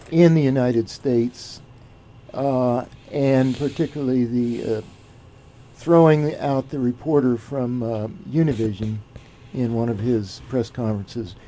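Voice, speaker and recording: Slow, dark-voiced male host. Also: medium pitch, a little hiss or hum. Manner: slow, deliberate questioning with fillers. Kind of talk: talk show interview.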